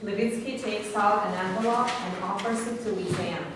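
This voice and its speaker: A woman's voice: medium pitch, fairly quick. Female stage directions reader, scripted narration, distant and noisy in a very echoey room. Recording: echoey room, distant mic, clear noise.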